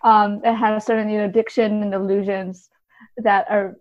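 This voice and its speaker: A woman's voice: mid-range voice, little pitch movement, moderate pace. A woman guest, a literary translator, explaining things in an interview in a hedging, conversational way. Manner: explanatory, hedging with many softeners. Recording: dull, lo-fi audio.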